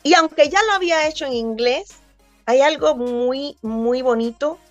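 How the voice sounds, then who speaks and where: A woman born in Cuba, in her fifties, speaks in a slightly high voice over a video call. She talks in an animated way and gestures as she tells stories. The sound is close and dry, with faint music behind her. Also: unhurried, no room sound, highs cut off. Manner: animated, gestures a lot while talking. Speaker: voice actor guest. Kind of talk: remote interview, storytelling.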